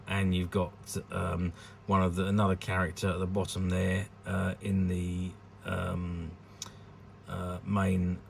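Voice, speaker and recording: A man giving an unscripted showcase commentary on a collectable, with a hesitant, halting delivery. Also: low-pitched, gravelly, slight background noise. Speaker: vintage toy collector presenting an item.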